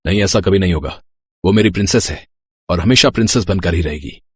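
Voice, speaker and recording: A man's voice: low-pitched, a little fast, slight background noise, close to an everyday voice. Man acting a proud, doting rich father. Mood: indignant, proud. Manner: indulgent, emphatic. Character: doting, proud wealthy middle-aged father. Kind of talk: audio storybook acting.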